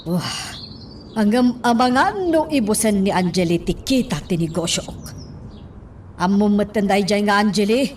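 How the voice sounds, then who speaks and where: A woman's voice: medium pitch, animated, a little slow, darkish tone, close to an everyday voice. An actress playing an impatient, money-minded female accomplice in an Ilocano radio drama. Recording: distant mic, faint background noise.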